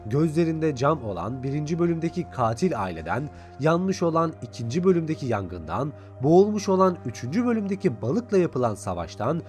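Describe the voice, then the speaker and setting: Higher-pitched man narrating over music. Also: sing-song, fairly quick, hardly any pauses, darkish tone. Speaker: video narrator and reviewer. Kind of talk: scripted narration recapping and reviewing an animated series. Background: background music.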